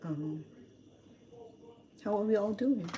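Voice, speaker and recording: A woman's voice: medium pitch, expressive, takes it slowly, mellow, a little hiss or hum. A middle-aged woman from Cambodia with a Cambodian accent, chatting casually with livestream viewers while cooking in her home kitchen. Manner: chatty, answers viewer comments, laughs often.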